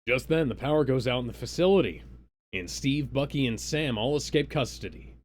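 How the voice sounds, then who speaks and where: Animated man giving a dramatic comic reading. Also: medium pitch, brisk, no echo. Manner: theatrical, breaks off into banter. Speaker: co-reader on a live comedy stream.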